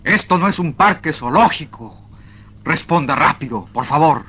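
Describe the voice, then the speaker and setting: An actor playing an exasperated, plain-spoken small-town freight agent in a comic radio drama, halting and muttering as he dictates. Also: fairly high pitch, lively intonation, sharp tone, breathy edge, full character voice. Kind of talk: 1970s-80s comic radio drama acting.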